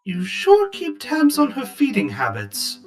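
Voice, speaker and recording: A woman's voice: sits high, wildly swooping pitch, unhurried, darkish tone, faint music behind, full character voice. A woman playing a blunt, teasing older sibling who sounds skeptical and sardonic. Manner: sardonic, questioning.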